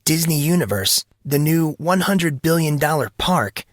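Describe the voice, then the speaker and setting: A man's voice: on the high side, animated, unhurried, no room sound, clean studio sound, voice pushed up. A man voicing a smug, theatrical teenage boy news anchor, delivering grandiose oratory as a mock broadcast in an audio story.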